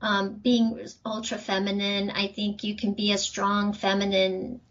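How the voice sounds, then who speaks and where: A woman's voice: medium pitch, monotone, unhurried, fairly bright tone. A woman chatting casually as a guest on a podcast. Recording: dull lo-fi audio.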